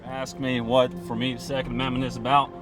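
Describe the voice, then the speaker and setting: A man from Belgium, an engineer, telling his own story in a relaxed, reflective way with fillers and restarts. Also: medium pitch, fast. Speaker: trigger design engineer. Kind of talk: documentary-style personal narration. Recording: music bed underneath. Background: guitar music.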